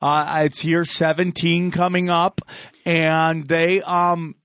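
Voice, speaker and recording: A man's voice: on the high side, slow, smooth, no room sound, clean studio sound. Rambling, jokey comedian in his forties. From New York. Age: 40s. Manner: rambling, jokey storytelling with loud excited bursts. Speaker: comedian guest. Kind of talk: casual podcast chat.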